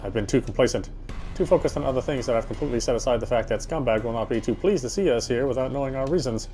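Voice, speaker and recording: Casting: male game player narrating horror text dramatically, mid-pitched, slightly stumbling read, over clear music. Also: quick pace, dark tone. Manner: stumbles over words and rereads phrases. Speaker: game player reading aloud.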